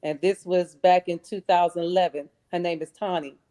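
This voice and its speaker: A woman's voice: lowish voice, steady tone, normal speed, mellow. An earnest, polite woman calling in by phone to audition on a live online stream. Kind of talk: casual chat, then motivational recitation. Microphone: phone on a streaming call.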